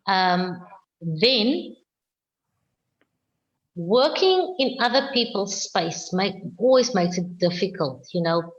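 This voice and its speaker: A South African woman winemaker answering questions on a video call, speaking slowly and with firm emphasis. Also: mid-range voice, muffled recording. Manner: slow, deliberate, emphatic. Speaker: winemaker guest.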